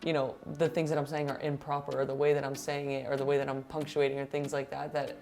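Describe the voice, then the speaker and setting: Woman guest, low voice, reflective and earnest; faint music behind. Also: flat delivery, fast talker, darkish tone. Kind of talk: casual interview chat. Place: restaurant.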